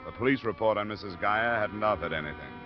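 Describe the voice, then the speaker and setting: An actor playing a laconic, steady investigator in a 1950s radio detective drama, with a dry, matter-of-fact delivery. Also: male voice, lowish voice, fast talker, music in the background, natural voice. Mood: dry, narrating. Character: laconic, steady male insurance investigator narrating his case. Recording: muffled old radio broadcast recording.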